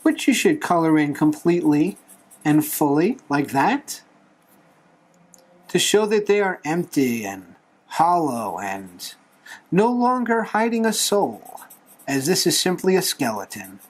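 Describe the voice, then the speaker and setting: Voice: mid-range voice, sing-song, unhurried. A tutorial host talking through a drawing step by step in a playful, encouraging manner. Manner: playful, light humour, encouraging.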